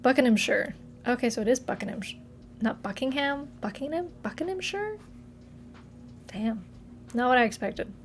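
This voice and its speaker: A woman's voice: high voice, lively intonation, normal speed, long pauses, faint music behind. A young woman from Massachusetts, chatting casually with her live chat from her apartment, giggling and trailing off mid-sentence. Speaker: streamer.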